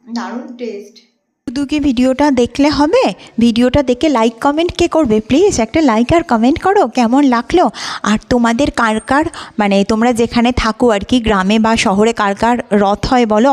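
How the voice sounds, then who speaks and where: A woman's voice: fairly high pitch, fairly quick, darkish tone. A young woman hosting a chatty vlog, cheerfully asking her viewers questions. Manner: cheerful, addresses viewers as friends with many questions. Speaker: vlog host.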